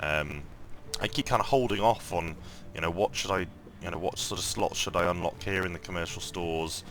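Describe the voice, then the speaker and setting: A man with a croaky morning voice giving casual gameplay commentary, thinking aloud and rambling with lots of ums and restarts. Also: sits low, moderate pace, a bit bright, slight background noise. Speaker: mobile game let's-play host.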